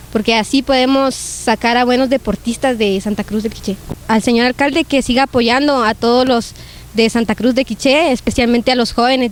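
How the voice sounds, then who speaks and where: A woman's voice: a little high, slight background noise. A young woman from Guatemala, a youth football player, answering an interviewer at a stadium in an earnest, plain way. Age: young adult.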